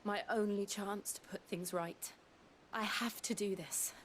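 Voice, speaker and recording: An actress playing a quietly determined young woman warrior who speaks softly and earnestly in a video game cutscene. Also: medium pitch, steady tone, a little slow, slight background noise, lightly acted.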